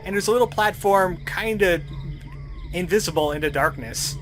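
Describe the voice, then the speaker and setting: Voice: mid-range voice, normal speed. A video game commentator narrating a playthrough with playfully sarcastic asides. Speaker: gaming video host. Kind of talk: Let's Play commentary. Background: adventure game music.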